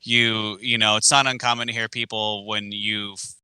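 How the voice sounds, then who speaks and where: A man co-hosting a casual podcast, chatting in a relaxed way with lots of fillers. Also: fairly low pitch, normal speed, clean tone, dry recording. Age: adult with kids. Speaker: podcast co-host, martial arts teacher.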